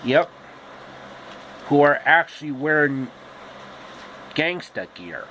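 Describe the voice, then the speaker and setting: Slow, halting male storyteller. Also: fairly high pitch, very animated, pushed and bright, a little hiss or hum, low-quality recording. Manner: halting, deliberate phrasing. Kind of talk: informal, improvised storytelling with pop-culture asides.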